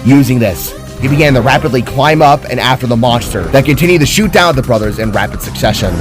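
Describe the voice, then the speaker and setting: A man's voice: mid-range voice, quick pace, music in the background. A man reading a scripted fantasy story as a dramatic narrator.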